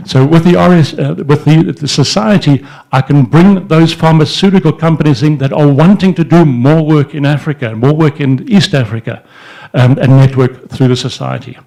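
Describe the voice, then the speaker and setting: A man's voice: on the high side, normal speed, vocal fry, warm tone, rough recording. An older man telling stories to an audience at an indoor event, speaking into a handheld microphone, rambling with many restarts and fillers. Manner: gestures with his free hand while he talks. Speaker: entrepreneur speaking at a society event. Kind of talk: informal speech to an audience. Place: indoor event room in front of a banner.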